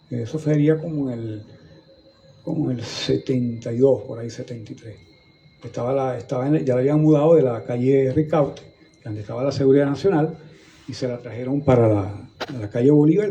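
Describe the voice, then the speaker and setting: A man's voice: mid-range voice, dark tone, muffled recording, slight background noise. An older man from Venezuela with a Venezuelan Spanish accent, recalling local history in a rambling way, with many restarts and repeated words. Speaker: guest historian. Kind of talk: conversational storytelling in a museum talk. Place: museum gallery, indoors.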